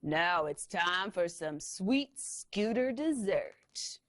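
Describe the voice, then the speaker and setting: A woman voicing a big, mean male monster truck who talks slowly and gruffly. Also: lowish voice, lively intonation, fairly bright tone, exaggerated voice. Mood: greedy.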